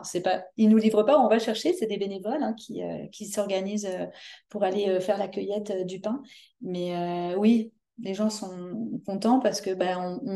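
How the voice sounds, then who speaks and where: A woman from France with a French accent, describing her community project in an interview, pausing often to find her words. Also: medium pitch, dark tone. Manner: thoughtful, frequent hesitations. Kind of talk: conversational interview.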